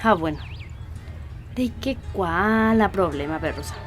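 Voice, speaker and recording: A woman's voice: on the low side, expressive, unhurried. A woman playing a caring, busy catechist in a Paraguayan radio soap opera, with a Paraguayan accent. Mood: busy, organizing. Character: Juliana, caring, busy catechist woman organizing a village festival.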